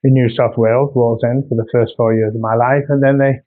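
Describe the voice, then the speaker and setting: Older man in his 60s, North Yorkshire accent, rambling homely chat, middle pitch, thin phone-like sound. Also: level tone, a little fast. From Australia, raised in North Yorkshire. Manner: rambling, homely, with many ums and restarts. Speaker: artist. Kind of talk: casual video introduction. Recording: tinny phone-like recording.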